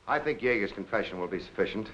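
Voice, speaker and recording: Terse detective, controlled, fast-talking, 1940s crime film, muffled old soundtrack. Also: male voice, lowish voice, lively intonation, fairly bright tone. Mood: relieved, wry. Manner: terse, probing, dry. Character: shrewd, controlled police lieutenant pressing a suspect. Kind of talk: 1940s film noir acting.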